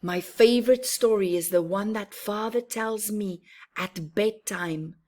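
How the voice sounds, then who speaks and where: A woman's voice: medium pitch, measured pace, darkish tone, lightly acted. A woman voicing a proud, cheerful young boy tree from a children's storybook, with earnest, emphatic phrasing. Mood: proud wonder. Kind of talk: children's storybook narration in the first person.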